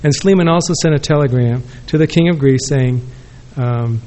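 Male conference respondent at podium mic, conversational and rambling, mid pitch. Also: normal speed, dark tone. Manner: rambling, self-correcting, conversational. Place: conference room with audience. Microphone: podium microphone.

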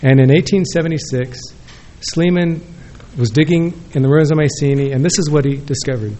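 A man's voice: on the high side, steady tone, brisk, dark tone, a little hiss or hum. A man giving a rambling, self-correcting response at an academic conference, speaking into a podium microphone. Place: conference room with audience.

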